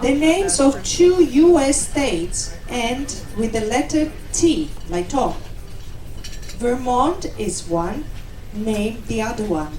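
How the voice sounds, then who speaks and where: Higher-voiced woman in an echoey room. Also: animated, slow, dark tone, a little hiss or hum. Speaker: assistant cruise director. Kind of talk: hosting passenger trivia. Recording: echoey, distant, music and clatter behind.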